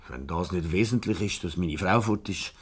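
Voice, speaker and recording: An actor playing an uneasy, deferential and anxious husband with a hesitant, polite manner in a Swiss German radio comedy. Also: male voice, deep voice, moderate pace, slightly rough. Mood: agitated. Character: uneasy, deferential husband, anxious about his missing wife. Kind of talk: 1970s comedy radio play acting.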